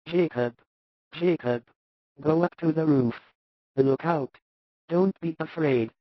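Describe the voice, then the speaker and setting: A man voicing an anxious, devoted wife who pleads softly for her sick husband in a dramatised scripture story. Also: on the high side, takes it slowly, dry recording, highs cut off, pitched above the performer's own voice. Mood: urgent calling. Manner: soft, imploring.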